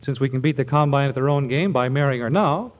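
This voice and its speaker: A man's voice: medium pitch, fast talker, highs cut off, natural voice. An actor playing a harried, impatient, oblivious businessman in a 1940s radio comedy. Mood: urgent.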